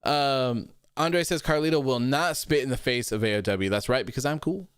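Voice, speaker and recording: A man's voice: mid-range voice, moderate pace, smooth, no echo, studio quality. A man in his early thirties, hosting a livestream and chatting loosely, reading viewer comments aloud with lots of likes and ums. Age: 30s. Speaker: podcast host reading live chat. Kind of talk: casual livestream chat.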